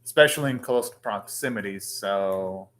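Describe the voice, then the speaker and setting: A man's voice: lowish voice, unhurried, fairly bright tone. A man hosting an anime reaction, commenting casually and thinking aloud in short, rambling remarks.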